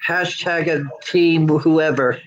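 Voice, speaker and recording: Man, slow unhurried speech, slightly high voice, webcam call from small room, casual announcement. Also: fairly even intonation, low-quality recording. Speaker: guest making an announcement. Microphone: webcam on video call.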